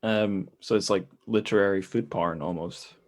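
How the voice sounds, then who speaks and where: A man's voice: lowish voice, steady tone, normal speed. A man hosting a podcast, chatting informally and conversationally about books. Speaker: podcast host. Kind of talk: casual book discussion.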